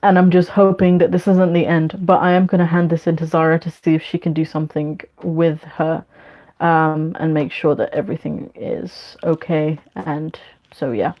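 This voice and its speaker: A woman's voice: low-pitched, little pitch movement, moderate pace. Rambling young woman with dull lo-fi audio. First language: Bangla. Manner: rambling, many ums and likes. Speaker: YouTuber answering live chat. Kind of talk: casual livestream chat.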